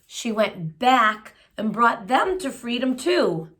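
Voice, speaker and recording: A woman's voice: fairly high pitch, big pitch swings, slow pace, a bit bright. A woman reading a children's picture book aloud, phrasing it expressively and adding simple explanatory asides for young listeners. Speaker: children's story reader.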